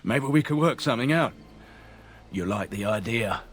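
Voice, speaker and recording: An actor playing a defeated mercenary killer in a fantasy game, rough, wry and grudging as he bargains. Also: medium pitch, expressive, normal speed, a bit bright, natural voice. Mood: wry, weary. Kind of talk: video game dialogue acting.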